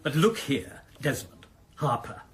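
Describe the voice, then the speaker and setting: An actor playing a principled, anxious police chief in a radio drama, speaking formally and with earnest indignation. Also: male voice, fairly high pitch, big pitch swings, unhurried. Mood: anxious, urgent. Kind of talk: 1990s radio drama acting.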